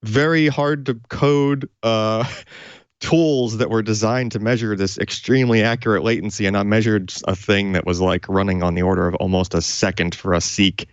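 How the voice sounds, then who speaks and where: Male tech co-host, low register, enthusiastic unscripted explainer, dry and slightly dull sound. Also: fairly even intonation, moderate pace, clean tone, no echo. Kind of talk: unscripted technical explanation, conversational.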